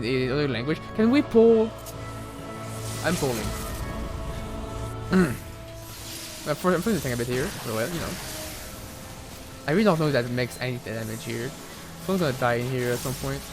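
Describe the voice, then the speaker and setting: Chatty French-accented young man streaming. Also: on the high side. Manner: rambling, chatty, many fillers and restarts. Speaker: game streamer. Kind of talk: casual live gaming commentary.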